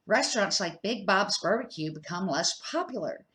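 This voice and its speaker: A woman's voice: medium pitch, expressive, normal speed, fairly bright tone. An older woman reading a children's storybook aloud as a grandmother would, an amateur who now and then stumbles and corrects herself.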